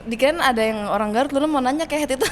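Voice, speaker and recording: A woman's voice: high voice, crowd noise. A woman hosting a casual, playful interview chat, often echoing back what her guests say. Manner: playful, quick to echo answers. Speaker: host. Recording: noisy, long echoey reverb.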